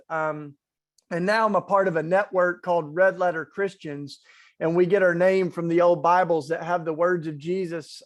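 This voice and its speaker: A man with an East Tennessee accent, speaking earnestly and conversationally as a panelist in an online talk. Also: high-pitched. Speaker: webinar panelist, faith organizer.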